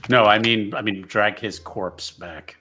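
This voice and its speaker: A man with a fairly deep yet bright, forceful voice. He plays a tabletop game, chatting casually and roleplaying, with faint music in the background. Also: steady tone, a little slow, husky. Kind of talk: casual talk and in-character roleplay.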